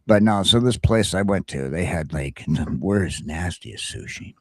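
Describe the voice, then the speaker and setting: A man's voice: sits low, expressive, measured pace, a little mellow, no echo, studio quality. A middle-aged American man hosting a casual, comedic morning chat show, telling rambling stories with crude humor. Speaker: talk show host.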